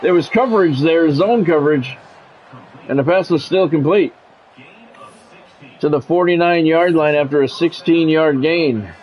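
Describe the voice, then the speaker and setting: A man's voice: a little high, measured pace, long pauses. A middle-aged man doing sports video game play-by-play with blunt, sweary, exasperated reactions. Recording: dull lo-fi audio, faint background noise.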